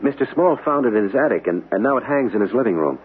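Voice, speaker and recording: Actor as an earnest, expressive radio-drama gentleman. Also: mid-range voice, fast, close to an everyday voice. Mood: earnest, probing. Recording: tinny band-limited radio recording with hiss.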